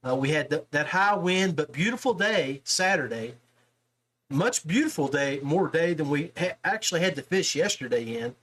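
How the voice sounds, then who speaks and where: High-voiced man, podcast host, casual interview style, chummy and familiar. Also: animated, moderate pace, fairly bright tone, rough recording. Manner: chummy, addresses listeners and guest as guys, man, brother.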